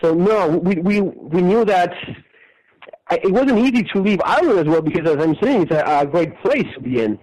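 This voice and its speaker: Man, halting and thoughtful, radio. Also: sits high, lively intonation, slight background noise, poor audio. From Argentina. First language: Spanish. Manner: thoughtful, halting, many restarts and soft laughs. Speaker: guest. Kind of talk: casual interview conversation.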